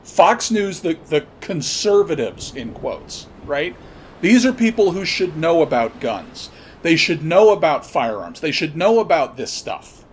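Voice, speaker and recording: A man's voice: fairly high pitch, very animated, measured pace, a little hiss or hum. A man delivering an opinionated commentary monologue, emphatically spelling out and repeating his points. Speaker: podcast host commentator.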